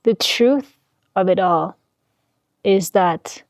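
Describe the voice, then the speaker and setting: A woman guides a meditation at an extremely unhurried pace. She sets down short phrases deliberately and soothingly, leaving pauses between them. Her medium-pitched voice sounds close and dry, with no room echo. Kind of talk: guided meditation, spiritual talk.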